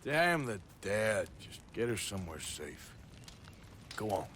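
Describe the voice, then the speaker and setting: Gruff, weary outlaw cowboy, rural Western American accent, blunt, forceful and low-pitched, video game drama. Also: male voice, sing-song, slow, slight background noise, heavily acted. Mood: reluctant, remorseful. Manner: blunt, plain-spoken, terse.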